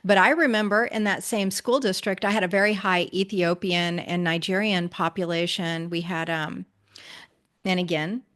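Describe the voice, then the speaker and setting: A woman's voice: fairly low pitch, fairly even intonation, moderate pace, fairly bright tone. A woman from the United States hosting a podcast interview, speaking reflectively with frequent fillers and agreeable backchannels.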